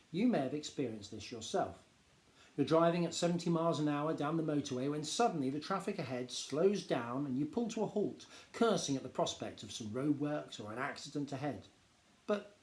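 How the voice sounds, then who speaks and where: A man reading a popular non-fiction book aloud like a teacher, explaining in a measured, expressive way. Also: fairly high pitch. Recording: echoey, roomy sound.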